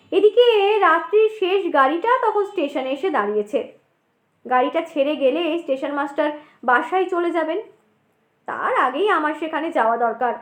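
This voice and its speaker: A woman's voice: high voice, a bit bright. A woman narrating a scripted ghost story as a dramatic storyteller, with roomy echo on her voice.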